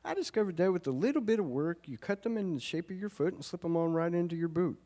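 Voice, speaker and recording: A homesick Civil War soldier voicing a letter in a stage drama. His tone is dark and soft-edged, plain and earnest, with long hall reverberation around it. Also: high voice, expressive, quick pace. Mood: resourceful. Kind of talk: dramatic reading of a letter home. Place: live stage performance in a recreation hall.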